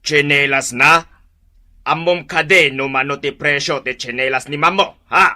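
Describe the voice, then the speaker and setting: A bossy suspicious master in radio drama, grilling tone, really forceful sharp voice, bit high. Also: male voice, fairly even intonation, moderate pace. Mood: sarcastic. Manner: commanding, interrogating. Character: stern, suspicious male boss scolding his servant. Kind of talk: Ilocano radio drama acting.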